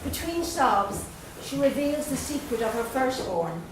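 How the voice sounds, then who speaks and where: Middle-aged Irish woman, guest poet, measured and unhurried reading, loose and chatty between pieces; picked up far from the mic in a very echoey room. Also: high voice, very animated, noisy background. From Dublin, Ireland. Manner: slow, measured reading with pauses; chatty and hesitant between poems. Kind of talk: poetry reading at a literary evening. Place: event room at a literary gathering.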